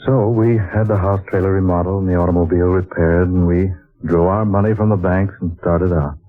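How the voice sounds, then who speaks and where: An actor voices a man, a writer, who tells of a strange journey in a measured, wistful way, his voice sitting very low. It is an old radio drama, heard through a thin, tinny broadcast sound with music faintly beneath. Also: fairly even intonation, natural voice. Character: Scott, an earnest male writer narrating his journey. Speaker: narrator and lead character. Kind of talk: 1940s radio drama acting.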